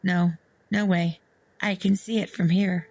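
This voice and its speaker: Slow, eerie, frightened wife acted by a woman. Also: on the low side, fairly even intonation, a little mellow. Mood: frightened, defiant. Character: frightened, defiant wife with eerie obsession, horror story.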